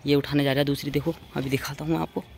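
A man's voice: a little high, little pitch movement, rapid-fire, gravelly, darkish tone. Young man, noisy outdoor vlog. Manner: informal, addresses viewers as friends, repeats phrases. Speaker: vlogger. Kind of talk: casual running vlog commentary. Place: outdoors on open rooftops. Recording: noisy open-air handheld recording.

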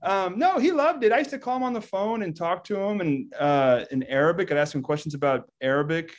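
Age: 30s. Sex: man. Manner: animated, laughing, somewhat high voice. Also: fairly quick. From Texas, United States. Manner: animated, laughs often, many fillers and restarts. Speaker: guest scholar. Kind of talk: video call interview. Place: indoor room with plain wall. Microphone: video call webcam.

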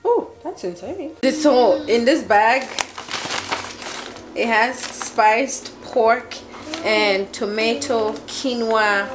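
A woman in her twenties chatting casually in her kitchen for a home vlog, chatty and excitable with frequent laughs. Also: on the high side, noisy background. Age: 20s. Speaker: vlogger.

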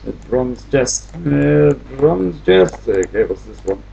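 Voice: mid-range voice, big pitch swings, slow, creaky, mellow, dull audio, a little hiss or hum. A gamer giving casual commentary on their own play, muttering to themselves and often repeating phrases. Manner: mumbling to self, repeating phrases.

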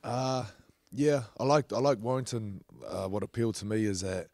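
A man's voice: on the low side, moderate pace, a little mellow, no echo. A man in his thirties, a podcast guest chatting bluntly and jokingly into a handheld mic in a studio. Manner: blunt, joking, laid-back. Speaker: podcast guest, rugby league player. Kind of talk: casual chat. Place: studio set on a sofa.

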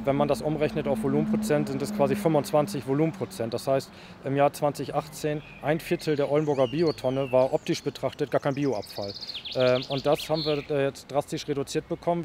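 A man in his forties giving a press interview as a manager, speaking haltingly with frequent hesitations. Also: on the high side. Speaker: waste company manager. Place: outdoors beside a garbage truck. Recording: clear outdoor background noise.

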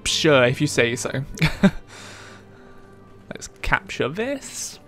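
Slow-paced man commentating a game. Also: medium pitch, big pitch swings, a bit bright. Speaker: let's play commentator. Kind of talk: casual gameplay commentary. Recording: game music behind the voice. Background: video game music.

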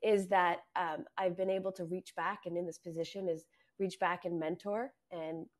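A woman panelist speaks earnestly over a video call while losing her voice. Her voice sits on the low side. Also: steady tone, normal speed. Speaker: head of analytics, panelist.

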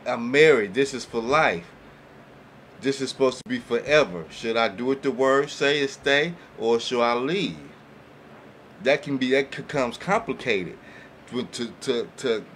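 A man's voice: medium pitch, fairly bright tone, a little hiss or hum. A man talking casually and colloquially in a podcast panel, often checking that listeners follow. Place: small indoor podcast room. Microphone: boom-arm studio microphone.

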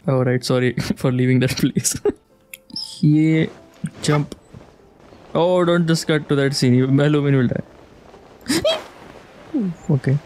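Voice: medium pitch, a little mellow, a little hiss or hum. A game streamer giving casual, informal commentary with frequent giggly reactions. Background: game music.

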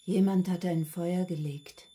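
An actress playing a subdued, withdrawn cook in her thirties, answering quietly and matter-of-factly in a radio drama. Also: lowish voice, steady tone, natural voice. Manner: quiet, halting, reticent, matter-of-fact. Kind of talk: German radio drama acting.

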